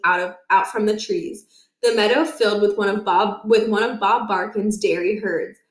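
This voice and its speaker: Woman storybook reader, mid-pitched, expressive children's narration, noticeably roomy sound. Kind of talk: children's read-aloud narration. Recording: roomy, echoing indoor recording.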